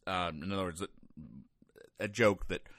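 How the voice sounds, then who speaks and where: A man with a fairly low voice delivers casual comedy commentary as a podcast host. His manner is deadpan and wry, and the sound is dry, with very little room echo. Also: a little slow. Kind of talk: casual comedic commentary, explaining comic strips.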